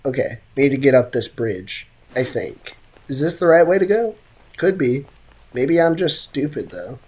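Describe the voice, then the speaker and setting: Game streamer, medium pitch, casual livestream chatter, joking, slangy. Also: sing-song, moderate pace, a little mellow, breathy edge.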